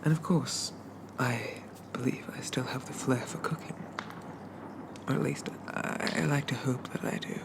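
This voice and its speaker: Man playing a slow, creaky, whispering shy zombie gentleman. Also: mid-range voice, fairly even intonation. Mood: wistful, fond. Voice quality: soft, breathy half-whisper with creak. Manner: hesitant, stammering, quaintly polite, genteel. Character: shy, bashful, old-fashioned undead zombie gentleman roommate. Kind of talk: whispered ASMR roleplay. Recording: constant loud background noise.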